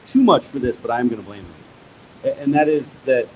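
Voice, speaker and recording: A man, the guest author at a live onstage conversation, explaining history in a measured, step-by-step way that builds emphasis. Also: medium pitch, a little mellow, slight background noise, poor audio.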